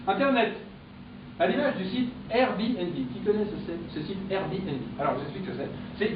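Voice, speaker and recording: Male stand-up, high voice, deadpan punchlines, far-off echoey lo-fi club sound. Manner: punchy deadpan joke delivery. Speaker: comedian. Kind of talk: stand-up comic press review. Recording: echoey, distant, dull live-club recording with noise.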